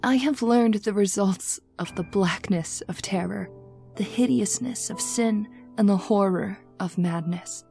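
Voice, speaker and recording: A woman's voice: mid-range voice, a little slow, creaky, dark tone, soft background music. A woman playing an anxious, devoted young wife and daughter in an old-time radio horror drama, earnest and melodramatic. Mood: grave, haunted. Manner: earnest, melodramatic, formal phrasing. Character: anxious, devoted young married daughter narrating her haunting.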